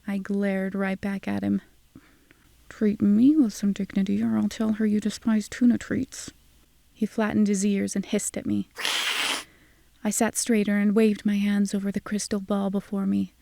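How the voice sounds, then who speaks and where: A woman's voice: medium pitch, level tone, moderate pace, creaky, mellow, no room sound. A woman narrating a scripted first-person audio drama in a wry, sardonic manner.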